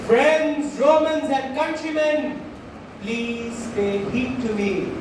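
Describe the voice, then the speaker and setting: A young man playing a grieving, slyly persuasive Roman orator in a school stage play, declaiming with emphatic pauses. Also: very high voice, fairly even intonation. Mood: solemn, ironic. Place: school stage, recorded from audience distance. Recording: echoey hall, distant mic, noisy.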